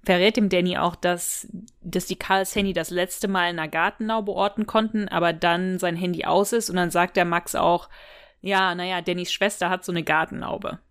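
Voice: mid-range voice, flat delivery, normal speed, a bit bright, smooth. A podcast co-host speaks in casual, everyday language. The delivery is tentative and conversational, thinking aloud while retelling an audio drama and quoting its characters.

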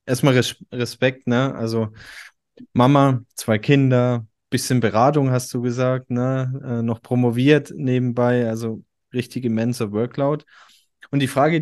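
A man's voice: medium pitch, darkish tone, no room sound. A man hosts a podcast interview with an enthusiastic, conversational manner. His voice sits mid-range. The recording is close and dry, with no room sound. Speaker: podcast host. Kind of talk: casual interview talk.

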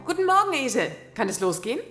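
A woman's voice: high voice, sing-song, fast, over music. Lady doing a snooty broke queen in a fairy tale radio play, very regal and formal, voice swings up and down. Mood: bossy, unsure. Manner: affectedly regal, formal phrasing.

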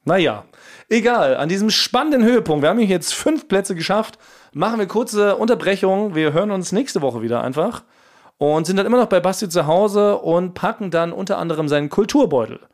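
A man with a fairly high voice hosts a casual comedy podcast. His delivery is jokey and mock-boastful, like someone bantering with his co-hosts. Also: expressive, fairly quick. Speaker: podcast host.

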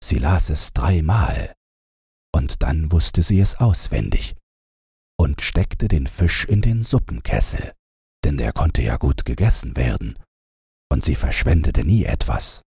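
Male audiobook narrator for bedtime fairytales: low, very raspy, slow scripted read, close dry sound. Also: very animated, mellow, dry recording.

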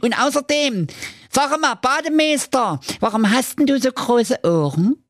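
Squeaky, cheeky little goat kids. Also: male voice, animated, measured pace, dry recording, studio quality. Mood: whiny. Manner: whiny, childlike questioning.

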